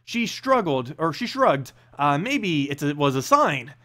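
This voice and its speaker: Guy with a pretty high voice reading fantasy lore, really swingy and dramatic, storybook style. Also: very animated, measured pace, pushed and bright. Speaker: narrator and channel host. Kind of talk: expressive storybook read-aloud of fantasy lore.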